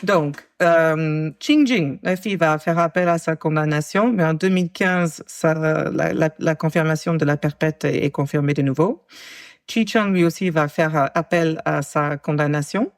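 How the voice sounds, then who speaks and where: A woman's voice: sits low, normal speed, no room sound, studio quality. A woman in her forties casually recounting a case to a co-host, with frequent fillers, restarts and wry asides. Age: 40s. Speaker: true-crime podcast co-host telling the case. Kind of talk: casual storytelling chat.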